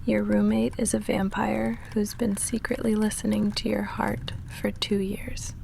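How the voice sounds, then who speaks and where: Woman acting a slow, hushed, lovestruck vampire roommate. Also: medium pitch, level tone, a little hiss or hum. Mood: resigned, vulnerable. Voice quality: soft, breathy, often whispering. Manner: hesitant, halting, confessional. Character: shy lovestruck female vampire roommate, confessing and self-restrained. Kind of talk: intimate ASMR roleplay monologue.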